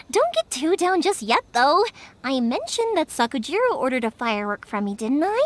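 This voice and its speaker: A woman's voice: high voice, normal speed. A woman voicing an upbeat, talkative, perceptive young fireworks maker in a video game. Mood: playful, kind. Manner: lively, encouraging, talkative. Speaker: video game character actor. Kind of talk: animated anime-style game acting. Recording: music behind the voice.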